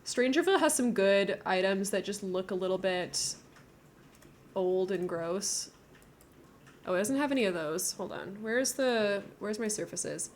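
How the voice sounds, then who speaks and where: A woman streams a building game and chats as she goes. She thinks out loud in a casual, giggly way, at a middling pitch. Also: animated, a little slow, slight background noise. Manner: chatty, thinking aloud, giggly.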